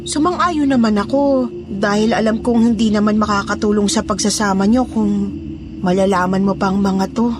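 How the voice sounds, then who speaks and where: A woman's voice: mid-range voice, fairly even intonation, normal speed, noisy background, lightly acted. A woman playing a fearful, loyal housemaid in a Tagalog horror audio drama, confiding and deferential as she tells a suspenseful story. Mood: uneasy, guarded. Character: fearful, loyal housemaid revealing dark secrets.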